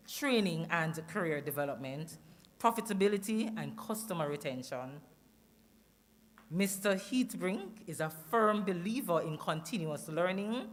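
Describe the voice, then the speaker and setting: A woman introducing a guest speaker at a ceremony, reading a prepared bio. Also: fairly low pitch. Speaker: master of ceremonies.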